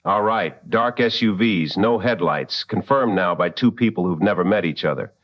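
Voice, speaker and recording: A man playing a calm, wry late-night radio host with measured dry humor in a radio drama, slightly muffled. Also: deep voice.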